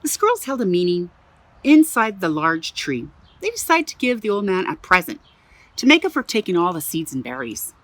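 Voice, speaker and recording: A woman reading a picture book aloud to children as a museum storyteller, animated and chatty, with little asides. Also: fairly low pitch, normal speed, dry recording.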